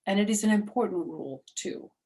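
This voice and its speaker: A woman's voice: mid-range voice, warm tone, pitched above the performer's own voice, lightly acted. Woman as a firm, patient male schoolteacher. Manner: deliberate, admonishing, emphatic.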